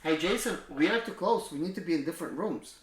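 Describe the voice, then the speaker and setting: A man casually unboxing a gadget on his vlog, often exclaiming with excitement. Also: on the high side, fast talker. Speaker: mobile mechanic YouTuber. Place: indoors at home. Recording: echoey room with faint noise.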